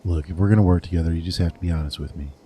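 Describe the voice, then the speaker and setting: A gruff, wary veteran detective, played by a man, dry and blunt. His voice is deep and very dark. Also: very fast, slight background noise, natural voice.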